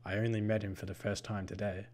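Man playing a defiant, forceful young hero. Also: lowish voice, flat delivery, quick pace, lightly acted. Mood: firm, protective. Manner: snarling, forceful, challenging. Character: defiant young male vampire's assistant, brave and indignant.

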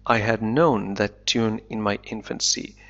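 A man teaching English, reading a novel aloud slowly and deliberately and explaining it line by line, an Arabic speaker. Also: on the low side, fairly even intonation, a bit bright. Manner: slow deliberate reading, then quick explanations. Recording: dull lo-fi recording.

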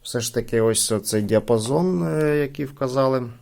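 A man's voice: mid-range voice, moderate pace, vocal fry, darkish tone. A man hosting a casual product review, talking informally to his viewers as friends. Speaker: gadget unboxing channel host.

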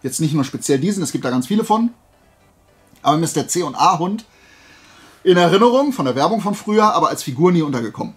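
A man's voice: fairly high pitch, sing-song, fast. A man talks to his viewers as a video host. He rambles along enthusiastically in loose, colloquial, unscripted chat, with music playing behind him. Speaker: video host showing collectible finds.

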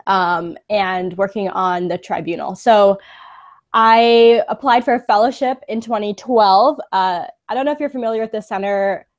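A young woman from the Bay Area speaks from a sofa over a webcam call, upbeat and quick to laugh. She talks casually at a middle pitch, with plenty of ums and uhs. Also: steady tone. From San Francisco Bay Area, USA. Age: 20s. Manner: upbeat, laughs often, many fillers. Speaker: interview guest, pageant delegate. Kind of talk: casual live video interview. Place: indoor room, sitting on a sofa. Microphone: webcam video call.

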